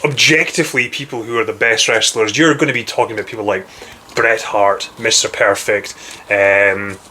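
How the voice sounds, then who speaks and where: A young man with a strong Scottish accent, a guest in a casual chat, talking in a measured, knowledgeable way. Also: on the low side, fairly even intonation, bright, forceful tone, slight background noise. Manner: knowledgeable, measured, precise phrasing.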